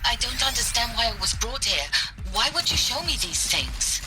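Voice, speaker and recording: A woman's voice: fairly high pitch, sing-song. Bewildered, distressed woman art historian. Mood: distressed, confused. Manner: halting, questioning, pleading. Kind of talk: dramatic video game acting.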